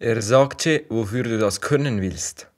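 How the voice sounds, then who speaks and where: A man's voice: mid-range voice, measured pace, lightly acted. A young male performer playing a sceptical village lad with a Valais Swiss German accent in an amateur radio play. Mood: patient, sceptical.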